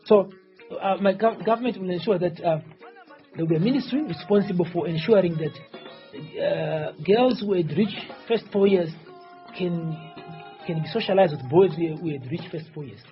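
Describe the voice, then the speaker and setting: A man's voice: high voice, normal speed, muffled recording, faint music behind. A man in his forties, originally from Tanzania, answering interview questions as a presidential candidate in a rambling, insistent way and often repeating himself. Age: 40s.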